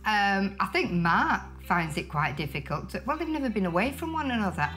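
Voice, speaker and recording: A middle-aged woman, talking in an excitable, chatty way with a mid-height voice. Faint music plays under her. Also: mid-range voice, fairly quick. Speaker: bride's mother. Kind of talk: casual chat.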